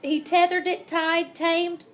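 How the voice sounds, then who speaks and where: Woman, high voice, slow measured pace, expressive picture-book narration, dramatic, relishes wordplay. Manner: dramatic, deliberate, savors rhymes and wordplay. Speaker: storybook reader. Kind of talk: expressive children's picture-book read-aloud.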